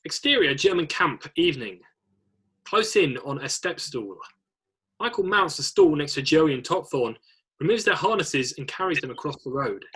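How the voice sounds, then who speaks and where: Casting: male narrator for a screenplay reading, bright forceful tone with a husky edge. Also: fairly high pitch, animated, moderate pace. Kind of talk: scripted reading of screenplay stage directions.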